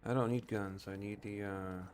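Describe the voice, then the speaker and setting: A man's voice: fairly low pitch, steady tone, normal speed, a little mellow, slight background noise. A man giving casual video game commentary as a streamer, rambling and musing to himself.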